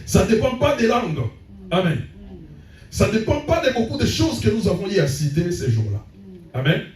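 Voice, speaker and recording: A man's voice: high-pitched, big pitch swings, normal speed, a little mellow. A man preaching a sermon as pastor, punctuating his points with frequent calls of amen. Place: church hall. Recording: echoey church room, voice from a distance.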